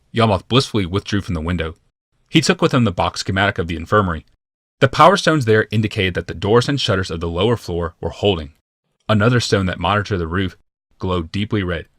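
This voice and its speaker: A man narrating a fantasy audiobook from a script in a measured, deliberate and dramatic manner. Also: low-pitched, fairly bright tone, dry recording, studio quality.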